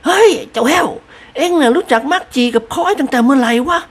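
A reader putting on the high, shrill voice of a sharp-tongued elderly noblewoman who scolds her servant in an audiobook. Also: lively intonation, fast. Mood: scolding. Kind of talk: audiobook character voice.

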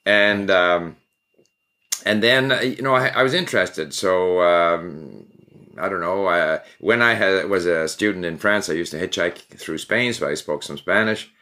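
An older man from Canada, a guest in an interview, telling his story in a relaxed, reflective way. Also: low-pitched, unhurried. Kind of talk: interview conversation.

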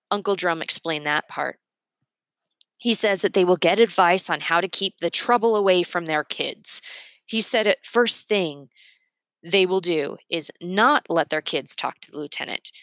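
A woman's voice: fairly low pitch, fairly bright tone, dry recording. A woman reading a storybook aloud expressively, narrating in the first person.

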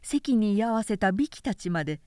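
A woman narrates a historical novel in a measured, dramatic way. Her pitch moves freely and expressively, and the sound is dry, with no room around it. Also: no echo. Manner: clear, measured, expressive storytelling delivery.

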